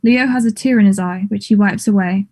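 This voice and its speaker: Woman, mellow, mid-pitched, scripted narration, stage directions. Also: brisk.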